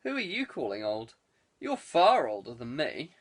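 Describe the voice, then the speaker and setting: A man's voice: mid-range voice, wildly swooping pitch, moderate pace. A man voicing a wry, teasing saboteur given to sardonic banter in an audiobook. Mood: mock-exasperated. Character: wry, teasing male saboteur, ribbing his friend.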